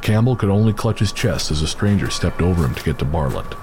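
A man giving scripted, measured narration for a horror audio drama, with a music score playing behind him. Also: low voice, expressive, background noise.

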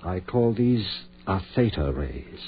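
A man's voice: low voice, sing-song, takes it slowly, full character voice. An actor playing an obsessed, coolly commanding male surgeon in 1940s radio horror, speaking in clipped, self-assured orders. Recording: muffled, noisy 1940s radio recording.

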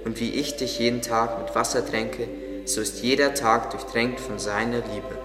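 A man's voice: medium pitch, steady tone, normal speed, lightly acted. A man playing a kindly, devout gardener who explains things earnestly in an audio drama. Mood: devout, teaching. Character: devout, kindly male gardener, patient teacher. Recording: echoey, long reverb, under music. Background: music.

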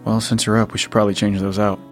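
A man's voice: lowish voice, little pitch movement, fast talker, faint music behind, lightly acted. A man playing a weary, protective survivor father in an audio drama, plain-spoken and earnest. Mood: quiet, caring. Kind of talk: naturalistic audio drama acting.

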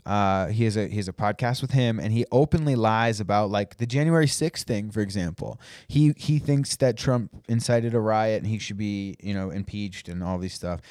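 A man's voice: on the low side, normal speed, hardly any pauses, a little mellow, no room sound, clean studio sound. A man co-hosting a podcast, rambling in casual, profane chat with frequent restarts. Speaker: podcast co-host.

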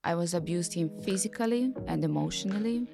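A woman with a strong Ukrainian accent speaks as a podcast guest in short, halting, simple phrases. Her voice sits fairly low. Music plays clearly underneath her. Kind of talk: personal interview.

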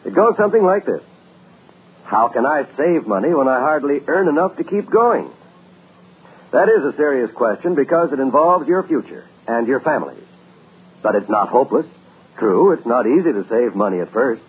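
A man reading vintage radio announcements in an emphatic, drawn-out way. His voice is quite husky and swings widely up and down. It comes through a tinny old broadcast with music behind him. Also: medium pitch, big pitch swings.

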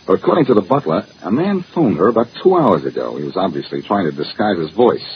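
An American actor playing a brash, wisecracking detective in a 1940s radio drama, punchy and hard-boiled. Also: male voice, deep voice, big pitch swings, fast talker. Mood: brisk, casual. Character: brash, wisecracking amateur detective, tough and confident. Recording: muffled, noisy old radio recording.

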